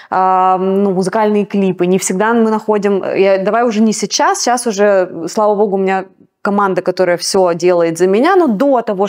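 Emphatic woman singer, motivational talk. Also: darkish tone. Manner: emphatic, motivational, pitch jumps up on key words. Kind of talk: interview guest.

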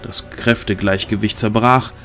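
A man's voice: on the low side, steady tone, a little slow, vocal fry, sharp tone, soft background music. A man narrating a scripted fantasy audio drama, reading the story as a narrator.

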